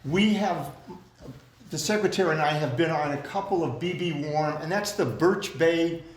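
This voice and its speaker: A man with a loud voice, plain-spoken, chairing a community club meeting. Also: a little high, moderate pace, echoey room, low-quality recording.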